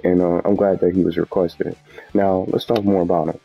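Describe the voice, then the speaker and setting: A man's voice: low voice, level tone, moderate pace, vocal fry, slightly rough. A man hosting a gaming video with casual, unscripted commentary, rambling and often repeating and correcting himself. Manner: rambling, repetitive, self-correcting. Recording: muffled audio with background music.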